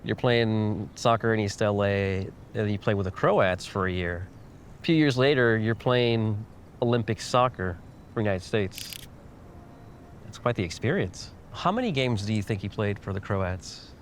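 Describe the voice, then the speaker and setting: A man's voice: fairly low pitch, expressive, moderate pace, a little hiss or hum. A man hosting a documentary, moving between casual interviewing and narration. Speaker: host and interviewer.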